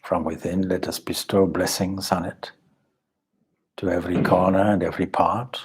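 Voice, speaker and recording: A man leading a guided meditation as a spiritual teacher, calm and measured, with deliberate pauses and a husky, breathy voice. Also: low voice.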